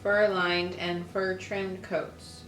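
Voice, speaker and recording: A woman's voice: lowish voice, little pitch movement, extremely slow, a bit bright, slight background noise. A woman, hosting a casual video, chatting and reading aloud. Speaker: host of a lifestyle video channel. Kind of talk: casual chat with reading aloud from an old newspaper. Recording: roomy echo, faint music underneath.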